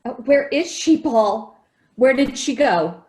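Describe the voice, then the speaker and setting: A woman playing an affectionate, fretful caretaker of a young child in a radio drama, expressive and coaxing. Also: high-pitched, slow. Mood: worried.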